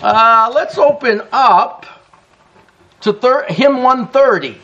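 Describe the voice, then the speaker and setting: A middle-aged man preaching a sermon in a church, emphatic, with quick chuckling asides. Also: very high-pitched, lively intonation, muffled recording, background noise. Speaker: pastor. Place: church sanctuary.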